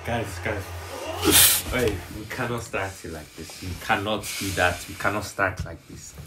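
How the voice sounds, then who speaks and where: A man's voice: lowish voice, a little slow, heavy background noise. A man in his twenties reacting in casual group chat, emphatic and slangy, often repeating himself. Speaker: reaction show co-host. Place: small room indoors, on a sofa. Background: music.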